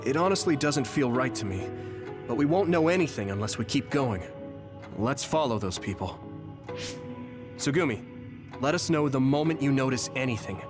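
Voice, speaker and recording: A man's voice: mid-range voice, animated, normal speed, pauses often, close to an everyday voice. An actor playing an earnest, polite young male squad leader in an English game dub, with music underneath. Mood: resolute.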